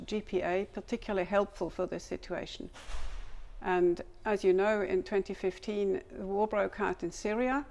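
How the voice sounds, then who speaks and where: German-accented woman in her 50s, explains things slowly and carefully, mid-pitched voice. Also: creaky, darkish tone. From Switzerland. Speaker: materials developer. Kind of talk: scripted interview answers.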